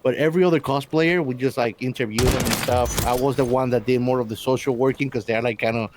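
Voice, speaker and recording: A man's voice: medium pitch, fairly even intonation, fast, noisy background. A man being interviewed as a guest, explaining things in an informal, casual conversational way.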